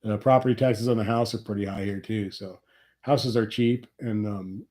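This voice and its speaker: A man's voice: fairly low pitch, fairly even intonation, normal speed, darkish tone. A middle-aged man from Nebraska, sniffly from a cold, complaining wryly in a rambling live chat with his viewers. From Nebraska, USA. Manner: rambling, wry complaining about taxes. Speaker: livestream host.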